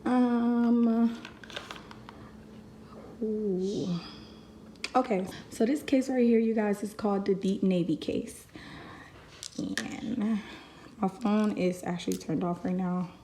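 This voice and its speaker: A woman's voice: mid-range voice, steady tone, takes it slowly, long pauses, dark tone. Woman, young adult, unboxing vlog host, chatty, still getting over a cold, roomy echo, faint ambient hiss. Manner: chatty, addresses viewers as you guys. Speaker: vlogger host. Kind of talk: casual unboxing review. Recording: echoey room.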